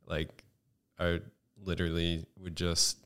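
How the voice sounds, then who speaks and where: A man with a low, smooth, resonant voice talks as a podcast guest. He speaks in a flat, monotone way and fills his sentences with ums and restarts. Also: slow. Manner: hesitant, many ums, self-described monotone. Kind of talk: casual chat.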